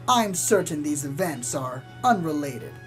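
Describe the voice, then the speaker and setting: Casting: woman as blithe small-town pony newsreader for spoof radio drama, lowish voice, measured and deadpan, faint music underneath. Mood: deadpan, ominous. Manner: measured, deadpan about sinister things. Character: calm small-town pony radio host, blithely reporting eerie doom. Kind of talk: scripted spoof community radio news narration.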